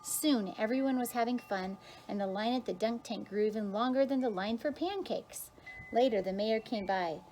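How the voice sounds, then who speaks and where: A woman's voice: fairly high pitch, moderate pace, darkish tone, a little hiss or hum, rough recording. A woman teacher reads a children's picture book aloud expressively, drawing out key words for emphasis. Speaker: kindergarten teacher reading aloud.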